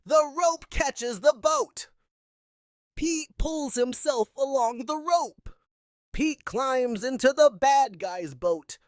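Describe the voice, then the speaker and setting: A woman reading a children's picture book aloud in simple, expressive narration. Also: high-pitched, slow pace, dry recording, clean studio sound. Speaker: read-aloud storyteller.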